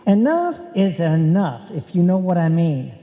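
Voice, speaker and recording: An actor playing a wry, exasperated, penny-pinching husband in a live old-time radio comedy. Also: male voice, sits high, very animated, unhurried, full character voice. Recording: dull, echoey hall stage recording.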